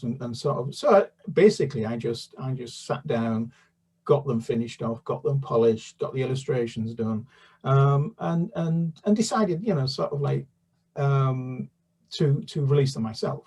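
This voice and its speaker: A man's voice: mid-range voice, a little mellow, breathy edge, recorded from a distance. An older man from the UK, a children's author, chatting casually in an interview with many fillers and restarts.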